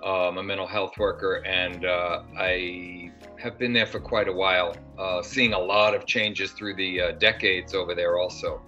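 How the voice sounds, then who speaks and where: Older man, bright voice, rambling. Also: low-pitched, level tone, normal speed, hardly any pauses. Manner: rambling, many fillers and restarts. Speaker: actor guest. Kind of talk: casual interview. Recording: dull lo-fi sound with faint music.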